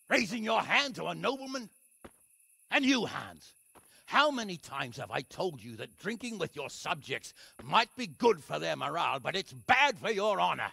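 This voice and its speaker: Very gravelly, scolding middle-aged lord. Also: male voice, a little high, sharp tone, no room sound, heavily acted. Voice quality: gravelly, rough. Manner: commanding, scolding, sarcastic. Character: stern, exasperated lord and guardian, loud-tempered, commanding. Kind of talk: dramatic video game acting.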